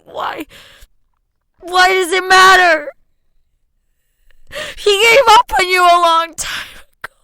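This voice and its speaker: An actress in a radio drama playing a troubled, sardonic woman who hides hurt behind dry jokes, speaking haltingly. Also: very high-pitched, pushed and bright, full character voice. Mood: anguished, self-berating.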